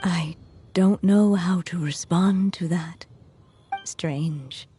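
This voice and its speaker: A woman voicing a gentle, fading storyteller in a video game, soft and hushed. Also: on the low side, extremely slow, a little hiss or hum. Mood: wistful.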